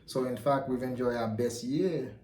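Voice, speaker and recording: A man answering interview questions in a confident, relaxed way. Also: mid-range voice, normal speed, slight background noise. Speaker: guest, mortgage company founder. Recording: echoey room with faint ambience.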